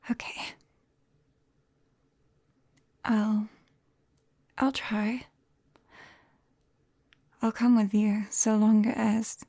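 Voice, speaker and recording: A British woman playing a gentle, shy, caring forest witch in a soft, breathy ASMR whisper, close to a binaural microphone. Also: fairly high pitch, dry recording, exaggerated voice. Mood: shy, hesitant. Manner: slow, gentle, hesitant. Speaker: ASMR roleplay performer.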